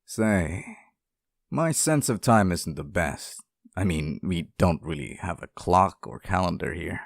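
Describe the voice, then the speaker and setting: A man's voice: sits low, lively intonation, a little slow, gravelly, no room sound, studio quality, exaggerated voice. A man playing a sweet, clingy, centuries-old sealed demon in an intimate ASMR roleplay, speaking tenderly and hesitantly to one listener. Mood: grateful.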